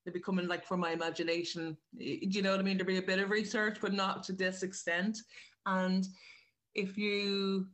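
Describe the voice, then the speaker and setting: A woman's voice: mid-range voice, flat delivery, normal speed, fairly bright tone. An Irish woman chatting casually as an interview guest, informal and rephrasing as she goes. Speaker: writer and director, interview guest.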